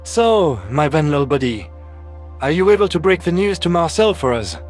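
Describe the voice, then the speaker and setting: A man voicing a calm, candid, friendly male alien doctor in a science fiction audio story. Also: on the high side, moderate pace, soft background music. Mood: brisk, friendly.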